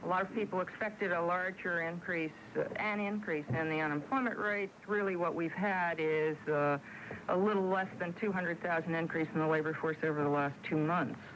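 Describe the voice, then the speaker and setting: A woman official giving a measured, hesitant, unscripted comment in an old radio news soundbite. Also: on the low side, steady tone. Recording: noisy, dull old radio news clip.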